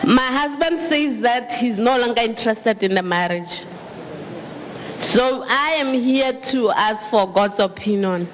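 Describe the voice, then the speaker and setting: A woman's voice: on the high side, expressive, a little slow. A woman from Botswana makes a public appeal as a congregant. Her words reverberate through a large hall, with faint noise behind. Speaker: congregant asking for prayer. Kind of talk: public testimony.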